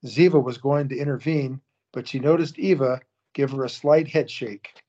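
A man's voice: mid-range voice, moderate pace, dark tone. Man narrating on a dull lo-fi recording. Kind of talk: scripted audio-drama narration.

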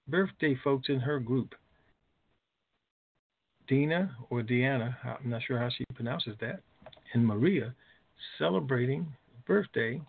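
Man, conference call host, gravelly voice, husky edge, informal delivery, phone-quality audio. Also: medium pitch. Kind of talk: informal announcements and call-in answers.

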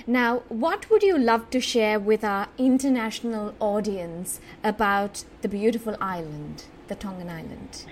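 A woman interviewer from Sri Lanka asking earnest, admiring questions in a measured way. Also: medium pitch, animated, slight background noise.

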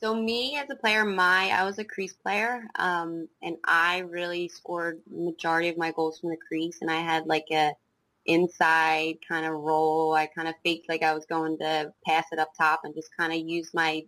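A woman's voice: low-pitched, level tone, normal speed, pushed and bright, no room sound, poor audio. A young woman from South Jersey with a slight New Jersey accent, talking casually as a guest coach, with frequent ums and restarts. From South Jersey, USA. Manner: hesitant, many ums and restarts. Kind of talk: casual interview chat.

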